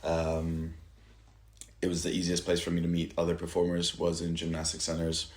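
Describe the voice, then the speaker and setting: A man from Italy who works as a stunt performer, chatting casually at home about his career with hesitant pauses, fillers and restarts. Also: sits low, completely flat delivery, a little mellow, echoey room. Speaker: stunt performer, podcast guest. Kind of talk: casual interview chat.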